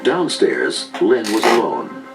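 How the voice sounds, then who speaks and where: A man's voice: on the low side, huge pitch swings, slow, gravelly, exaggerated voice. A man narrating a children's read-along story in a dramatic scripted style, from an old record. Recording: 1980s record played aloud, music behind. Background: dramatic music and stock sound effects.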